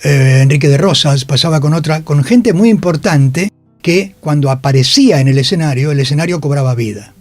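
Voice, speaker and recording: A man's voice: a little high, expressive, a little fast, a little mellow, no echo, soft background music. An older man with a Rioplatense Spanish accent, telling anecdotes in a radio interview and acting out the quotes as he goes. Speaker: interviewed actor. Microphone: radio studio microphone.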